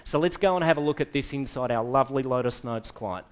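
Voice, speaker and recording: A middle-aged man with an Australian accent giving an enthusiastic software presentation to a live audience, quizzing the room and dropping quick asides. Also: mid-range voice, smooth. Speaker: conference presenter. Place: lecture hall with seated audience.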